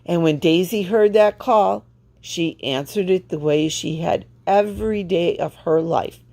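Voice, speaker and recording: A woman reading a children's storybook aloud with expressive, deliberate phrasing. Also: fairly low pitch, creaky. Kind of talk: read-aloud storybook narration.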